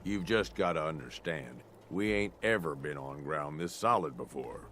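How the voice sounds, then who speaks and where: An actor playing a rough, scheming male raider lieutenant with a streetwise, persuasive way of talking. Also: extremely low pitch, expressive, normal speed, fairly bright tone, a little hiss or hum.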